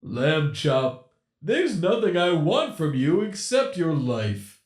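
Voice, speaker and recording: A man voicing a menacing animatronic captor in a storybook reading, talking in a slow, mocking, theatrical drawl. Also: on the high side, very animated, echoey room, voice pushed up. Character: menacing male animatronic captor, gleeful and cruel.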